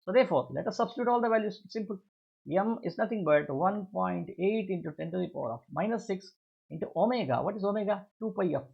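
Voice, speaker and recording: Creaky, raspy Indian man teaching. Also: high voice, lively intonation, fast talker. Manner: didactic, asks and answers own questions; repeats phrases for emphasis. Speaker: physics teacher. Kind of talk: worked-solution exam lesson. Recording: dull lo-fi recording.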